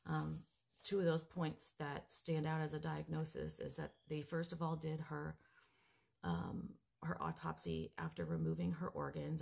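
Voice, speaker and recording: Hoarse, raspy woman with a cold. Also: low voice, darkish tone. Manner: earnest, measured, stumbles over medical terms. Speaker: grieving mother seeking justice. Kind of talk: direct-to-camera update, reading from a report.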